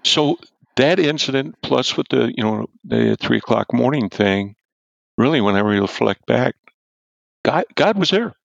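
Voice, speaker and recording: An older man, a former football coach, telling a story loudly and animatedly as an interview guest and chuckling as he goes. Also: mid-range voice, animated, a little slow, gravelly, no echo. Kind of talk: storytelling in a podcast-style interview. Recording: dull, dry lo-fi audio.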